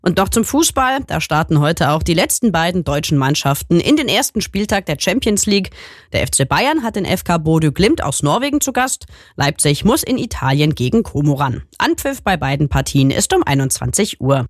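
A woman reads radio news from a script. Her voice has lively intonation and the sound is very dry. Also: sits low, moderate pace, dry recording. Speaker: newsreader.

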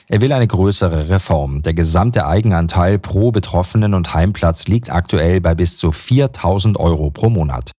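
A very deep male voice reads the news with calm, formal precision. The studio sound is close and completely dry. Nothing plays behind him. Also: no echo. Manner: calm, measured, formal delivery. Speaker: newsreader. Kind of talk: radio news reading.

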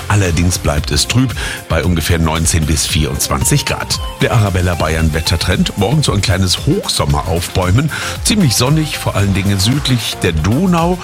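Lively male radio weatherman. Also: on the low side. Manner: casual, chatty address to listeners. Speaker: weather presenter.

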